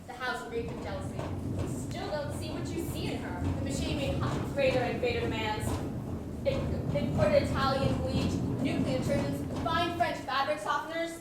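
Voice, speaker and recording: Echoey, distant stage melodrama, high-pitched lovestruck husband played by a woman. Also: lively intonation, normal speed. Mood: wry, mournful narration. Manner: theatrical, melodramatic, stammering under accusation. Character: lovestruck, guilt-ridden narrator named Manny, melodramatic husband. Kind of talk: student stage comedy, confessional narration and dialogue. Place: school theater stage, recorded from audience. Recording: distant mic, echoey noisy hall.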